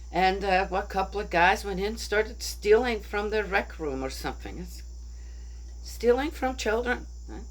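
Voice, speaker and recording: A woman's voice: medium pitch, low-quality recording. A woman talking casually through a bible story, thinking aloud and often asking her listeners "right?". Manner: chatty, rhetorical, keeps asking "right?". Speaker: bible study speaker.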